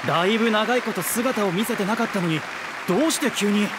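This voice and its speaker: A man's voice: sits high, brisk, over a noisy crowd, heavy background noise, lightly acted. A man voicing an astonished, puzzled theatre spectator in a Japanese game. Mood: amazed. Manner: wondering, puzzled.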